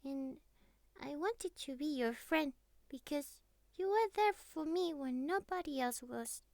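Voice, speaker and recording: A woman playing a clingy, teasing young catgirl best friend in an intimate roleplay ASMR, speaking softly and cutely with breathy murmurs. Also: high voice, lively intonation, no echo. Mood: tender, wistful. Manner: soft, cutesy, breathy sighs and murmurs, slow. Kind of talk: intimate roleplay ASMR, scripted monologue to the listener.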